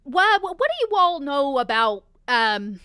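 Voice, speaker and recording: A woman's voice: high-pitched, very animated, unhurried, bright, forceful tone, no echo, studio quality. A woman playing a tabletop roleplaying game, improvising in character with emphatic explanations and pointed questions.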